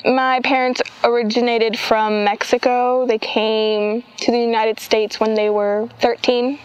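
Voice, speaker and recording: Clear, slightly high teenage girl. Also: little pitch movement, measured pace, no echo, lo-fi sound. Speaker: student. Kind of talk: documentary interview.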